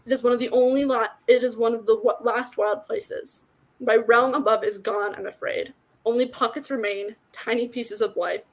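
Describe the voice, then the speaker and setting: An ancient god of the wild, tender and forlorn as a woman reads him from a novel. He speaks solemnly and gently, taking his time like someone fading away. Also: a little high, steady tone, normal speed, lightly acted. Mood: fond, wistful.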